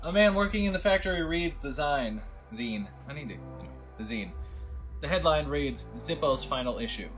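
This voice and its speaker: A man reading the scene headings and action lines of a screenplay in a table read, in a plain, steady way. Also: a little high, moderate pace, faint music behind. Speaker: script reader of stage directions.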